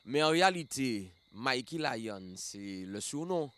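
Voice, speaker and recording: A man's voice: mid-range voice, lively intonation, measured pace, fairly bright tone. Laid-back man hosting. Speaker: radio show host. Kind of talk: casual interview.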